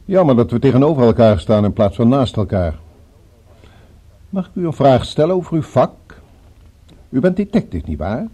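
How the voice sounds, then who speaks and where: A cultured, dangerous gentleman villain of radio drama. His voice is very soft-edged and dark, slightly husky, with musical, lively intonation. He speaks formally and threatens with perfect politeness. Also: mid-range voice, moderate pace, long pauses. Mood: polite, musing threat. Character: courteous, menacing male villain with a revolver, cultured.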